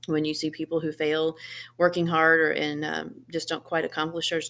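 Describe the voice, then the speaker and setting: Low-pitched woman talking to students. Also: monotone, normal speed, creaky, a bit bright, a little hiss or hum. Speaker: school read-aloud presenter. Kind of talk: informal encouraging talk to students. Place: small living room, indoors.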